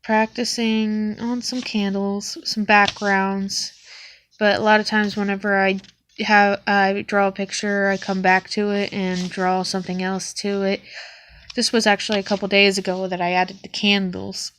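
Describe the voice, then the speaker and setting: Young woman, sniffly cold voice, halting hobby narration, plenty of pauses and restarts. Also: mid-range voice, flat delivery, fairly bright tone, slight background noise. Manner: hesitant, with many ums and restarts. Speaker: vlogger showing her sketchbook. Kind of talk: casual sketchbook walkthrough.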